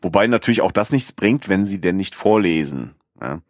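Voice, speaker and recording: A man's voice: deep voice, lively intonation, moderate pace, no room sound, clean studio sound. A man hosting a podcast in casual chat, rambling with many fillers and restarts. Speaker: podcast host.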